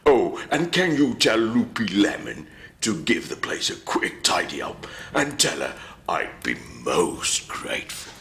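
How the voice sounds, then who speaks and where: Man playing a calm old village elder. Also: on the low side, gravelly, soft background music, full character voice. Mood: kindly. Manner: calm, soothing, unhurried. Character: wise old vegetable elder with silver moustache. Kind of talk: storybook character reading.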